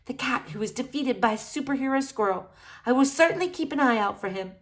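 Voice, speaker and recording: Woman voicing a pompous, high-pitched storybook boy. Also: expressive, fairly quick. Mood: delighted. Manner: pompous, formal, effusive. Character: grandly earnest, wordy, theatrical young boy. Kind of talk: acted children's storybook dialogue.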